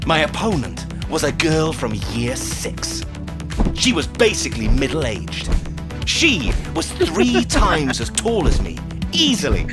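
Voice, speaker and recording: An actor playing a solemn, boastful child champion who tells a battle tale in a grandiose, overdramatic audio drama monologue. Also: mid-range voice. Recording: loud music under the voice.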